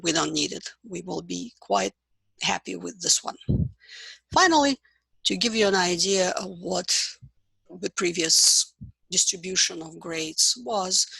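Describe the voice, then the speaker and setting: A middle-aged woman professor with a Russian accent, talking through a recorded course introduction in a measured, explanatory way. Her voice is very husky and strongly gravelly, with a bright, forward edge, and the recording is close and dry. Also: on the low side, no room sound, rough recording. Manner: measured, explanatory, with occasional fillers. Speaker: psychology professor.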